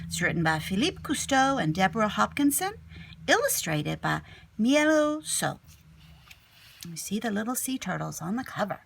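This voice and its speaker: A woman's voice: medium pitch, sing-song, normal speed, gravelly, a little hiss or hum. A woman teacher reading a picture book aloud expressively, with chatty asides aimed at young children. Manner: addresses young listeners with simple questions and asides.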